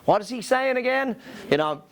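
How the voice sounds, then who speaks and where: A man's voice: extremely high pitch, lively intonation, normal speed. A man with an Australian accent speaking as a business coach to an audience in an emphatic, motivational seminar style, putting rhetorical questions to listeners. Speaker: business coach and company founder, presenting.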